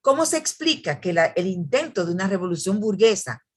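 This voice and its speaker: A woman's voice: mid-range voice, sing-song, fairly quick, a little mellow, husky. A woman author presenting a book at an academic event, speaking in a hesitant way with many fillers and restarts.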